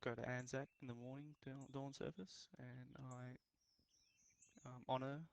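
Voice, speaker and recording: A man giving a halting, hesitant memorial speech in voice chat, full of pauses and ums. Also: mid-range voice, little pitch movement, gravelly, noisy background. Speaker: memorial speaker.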